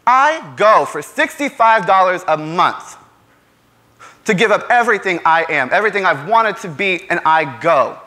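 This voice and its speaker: Guy acting as a trapped young working class son in a stage play, bitter, sarcastic, highish sharp voice. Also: lively intonation, normal speed. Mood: bitter, exasperated. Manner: impassioned, sarcastic, rhetorical. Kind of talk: theatrical stage monologue.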